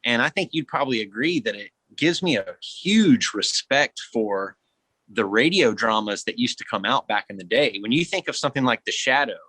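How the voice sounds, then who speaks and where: A man speaking as a guest actor in a reflective podcast conversation, earnest and expressive. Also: mid-range voice, moderate pace, husky, no room sound, poor audio.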